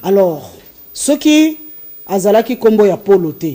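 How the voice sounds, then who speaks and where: High-voiced Congolese male host. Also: big pitch swings, mellow. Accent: Congolese French. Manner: drawn-out, probing questions. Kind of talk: interview questions mixing French and Lingala.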